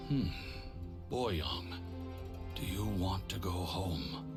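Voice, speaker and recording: An actor playing a confused, gentle male warrior spirit near death in a video game, speaking in a slow, halting, gravelly way. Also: low-pitched, big pitch swings, loud music behind the voice, heavily acted. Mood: weak, confused.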